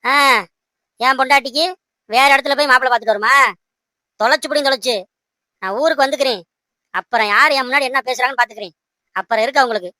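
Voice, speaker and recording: A woman's voice: high voice, fast talker, pauses often, pushed and bright, no echo. A woman voicing an earnest, protective, hot-headed young fiancé in a cartoon, emphatic and insistent. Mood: indignant. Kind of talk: amateur cartoon dialogue in colloquial speech.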